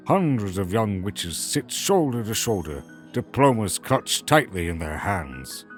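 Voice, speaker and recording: A man narrating a scripted fantasy story in a dramatic storytelling style. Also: lowish voice.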